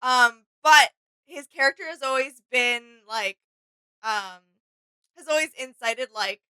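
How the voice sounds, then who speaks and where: A woman's voice: sits high, slow pace, sharp tone, clear, resonant tone, no echo, poor audio. A woman co-hosting a reaction video in an agreeable, earnest, casual chat.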